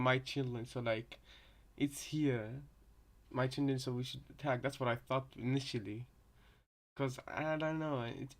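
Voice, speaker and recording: A man giving casual reaction commentary on game voice lines, thinking aloud in a hesitant, rambling way. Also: mid-range voice.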